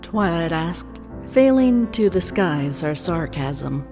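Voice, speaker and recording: A woman reads scripted fiction as narrator, very unhurried and measured. Her voice is soft-edged and dark, carried in a long reverb with music underneath. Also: fairly low pitch, very animated.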